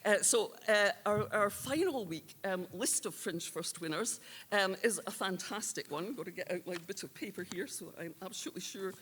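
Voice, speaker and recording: Female awards presenter, unscripted, halting as she hunts for words. Live in a reverberant hall. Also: medium pitch, fairly bright tone, a little hiss or hum. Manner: hesitant, searching for words. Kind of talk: unscripted speech introducing award winners.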